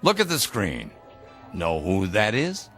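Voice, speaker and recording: An actor playing a jovial, pompous boss cutting loose over drinks, with an expansive, playfully grandiose theatrical delivery. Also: male voice, fairly low pitch, wildly swooping pitch, slow pace, a bit bright, soft background music, full character voice. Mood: gleeful. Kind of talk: theatrical radio drama acting.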